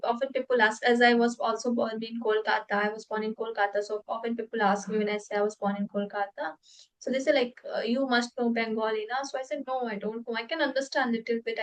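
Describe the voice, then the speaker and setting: A young woman with an Indian accent leads an English practice chat. Her voice sits a little high, and she speaks in a friendly but hesitant way, filling the gaps with "uh" and "like." She is a native Hindi speaker. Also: low-quality recording. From Uttar Pradesh, India. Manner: hesitant, many fillers, friendly. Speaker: English conversation practice host. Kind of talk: casual conversation practice.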